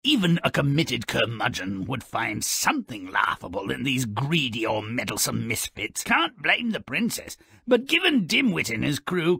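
A man voicing a mischievous, cynical dwarf storyteller in a video game, with a raspy, theatrical, sarcastic delivery that mocks fairy tales. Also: mid-range voice, bright, forceful tone, dry recording, studio quality, heavily acted. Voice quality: raspy, gravelly. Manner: sarcastic, gleeful, exaggerated delivery. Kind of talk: theatrical sardonic storybook narration.

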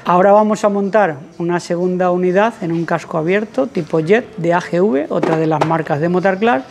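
A man narrates a hands-on tutorial as a presenter, explaining things with halting pauses. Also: high voice, gravelly, background noise. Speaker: presenter of a motorcycle channel. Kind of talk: tutorial voice-over commentary.

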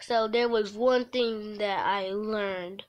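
A woman livestreamer reading a visual novel aloud, haltingly, breaking off for casual offhand asides. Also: mid-range voice, fairly even intonation. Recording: noisy mobile stream with clicks.

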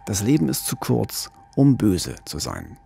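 Gravelly-voiced man hosting from a studio. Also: on the low side, loud music behind the voice. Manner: calm, explanatory, measured. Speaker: podcast co-host. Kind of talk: scripted true-crime narration. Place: small radio studio. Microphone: studio condenser mic with pop filter, headphones.

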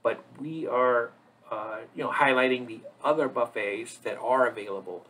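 A man with a husky, slightly gravelly voice giving a casual, rambling tour narration as a vlog host, with hesitant pauses. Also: mid-range voice, a bit bright, poor audio. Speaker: travel vlogger, host.

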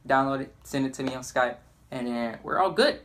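A young man talks in an informal, rambling way, like a gamer telling stories to viewers. His delivery is casual and loose, with animated bits when he acts things out. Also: mid-range voice, fairly quick. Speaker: YouTube gamer and storyteller. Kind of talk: casual storytelling over gameplay.

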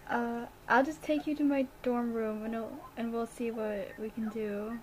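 A woman's voice: on the high side, steady tone, normal speed, a little mellow, a little hiss or hum. A woman acting a student in an amateur game roleplay.

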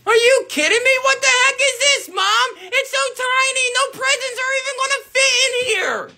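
A woman's voice: very high-pitched, moderate pace, voice pushed up, full character voice. A woman doing a whiny little boy. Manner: whiny, pleading, shouty. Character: young boy, whiny, impatient middle child.

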